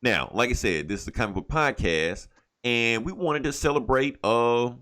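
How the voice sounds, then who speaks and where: A man's voice: mid-range voice, moderate pace, fairly bright tone. A man from the United States hosting a casual podcast, telling stories in a joking, profane way. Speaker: comic book podcast host.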